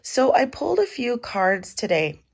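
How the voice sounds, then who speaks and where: A middle-aged woman with a cold and a raspy voice, chatting casually as she gives a tarot reading at home. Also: on the high side, very animated, a little slow. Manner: chatty, warm advice with fillers. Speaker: tarot reader.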